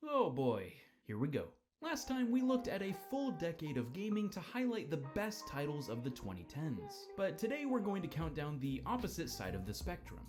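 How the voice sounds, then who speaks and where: A man's voice: a little high, huge pitch swings, moderate pace, hardly any pauses. A man narrating a scripted video countdown, wry and upbeat. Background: faint music.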